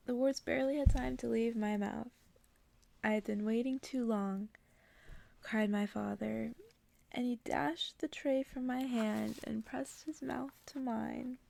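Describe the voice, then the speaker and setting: A woman whispers softly and intimately, as in an ASMR session. Her voice sits a little high for a woman, with a noticeable creaky fry. The delivery is hushed, made for reading someone to sleep. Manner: hushed, soft, mostly whispering. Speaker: ASMR creator reading stories to listeners. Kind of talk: whispered ASMR bedtime story reading with casual asides.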